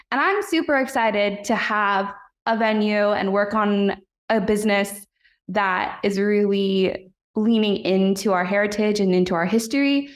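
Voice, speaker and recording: A young adult woman guest-hosting a podcast, talking in a bubbly, giggly way with plenty of fillers. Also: mid-range voice. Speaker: guest host. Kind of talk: casual family chat.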